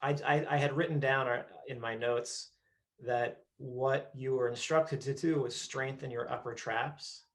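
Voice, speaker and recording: A male host interviews a guest over a video call, his voice at a middle pitch. He talks in a chatty, explaining way, often restarting his sentences. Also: steady tone, measured pace.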